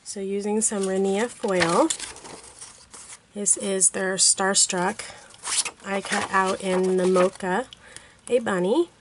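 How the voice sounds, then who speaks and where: A woman hosting a card-making tutorial, hoarse from a cold, talking in a chatty way with unsure asides. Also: mid-range voice, steady tone, slow pace, pauses often, noisy background. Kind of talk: casual craft tutorial narration.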